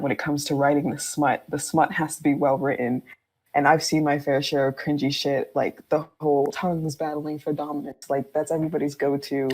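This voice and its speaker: A woman with a low-pitched voice chats on a podcast as a guest. She is candid and informal, talking in a conversational way and readily breaking into laughter. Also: husky. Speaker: fan fiction writer, podcast guest.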